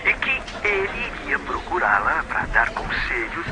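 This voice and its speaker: An actor playing a flippant, callous young boyfriend on the telephone in an audio drama, with a Brazilian accent and breezy, dismissive colloquial talk. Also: male voice, on the high side, huge pitch swings, a little slow. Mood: flippant, scornful. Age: young adult.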